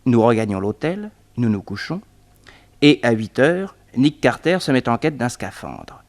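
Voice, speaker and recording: An actor playing a detective's eager sidekick, narrating their adventure with suspense in a 1960s French radio serial. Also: male voice, mid-range voice, very animated, a little slow. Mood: eager, suspenseful.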